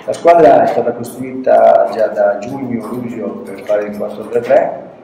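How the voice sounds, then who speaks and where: An older man, a football coach, answering questions at a post-match press conference in a halting way, with frequent restarts and words that trail off. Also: medium pitch, gravelly, warm tone. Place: press room with a sponsor backdrop. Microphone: table mic on a stand. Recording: echoey room, mic at a distance, noisy.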